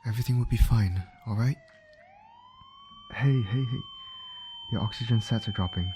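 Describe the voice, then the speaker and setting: A low-pitched male voice , acted by a man, plays a gentle medic in an ASMR roleplay. He is attentive and coaxing, steadily reassuring an injured patient. Faint siren and vehicle noise sit behind him. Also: moderate pace, close to an everyday voice. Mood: urgent, reassuring. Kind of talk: ASMR comfort roleplay acting.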